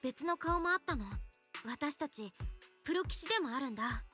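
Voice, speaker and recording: A woman playing an upbeat, considerate young woman in an anime game, speaking in an expressive, friendly, conversational way. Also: sits high, very fast. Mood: confiding. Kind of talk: Japanese anime game voice acting. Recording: game dialogue over prominent background music.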